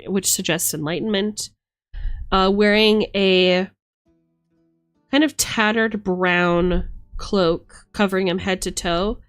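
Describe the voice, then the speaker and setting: A woman giving a casual, chatty tarot reading on a live stream, musing aloud in informal language. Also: mid-range voice. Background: background music.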